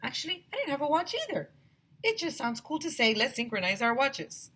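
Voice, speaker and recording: A woman voicing an excitable, suspicious third-grade boy from a storybook, insistent and conspiratorial. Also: sits high, brisk, pitched above the performer's own voice, heavily acted.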